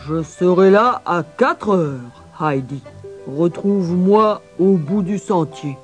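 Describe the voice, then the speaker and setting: A woman voicing a gruff, grumbling old mountain grandfather in a children's storybook, stern and emphatic. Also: fairly low pitch, lively intonation, takes it slowly, a little mellow, faint music behind. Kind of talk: children's storybook character acting.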